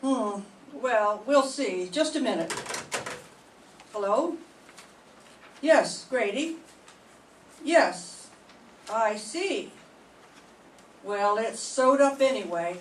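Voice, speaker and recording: An older woman reading a shrewd, measured police inspector. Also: a little high, lively intonation. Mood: stern, probing. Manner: measured, deliberate questioning. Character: shrewd, calm police inspector questioning suspects. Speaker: student in a radio drama class. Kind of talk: 1940s radio mystery acting. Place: classroom. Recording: echoey room, distant mic, faint hiss.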